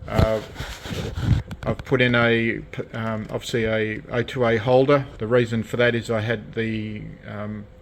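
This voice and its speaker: Halting Australian man over background noise. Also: lowish voice, level tone, rough recording. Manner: halting, hesitant, piecemeal phrasing. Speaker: radio restorer presenting own collection. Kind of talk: casual hands-on show-and-tell commentary. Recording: clear background noise, hobby video.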